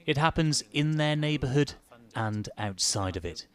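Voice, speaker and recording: A man giving a measured, serious television news report as the reporter. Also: fairly high pitch, lively intonation. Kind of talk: scripted news report and live studio analysis.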